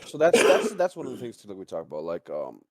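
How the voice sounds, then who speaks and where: A man's voice: lowish voice, wildly swooping pitch, quick pace, darkish tone, no room sound. A man chatting casually, blunt and sweary, often repeating himself as he makes his point. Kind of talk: casual chat.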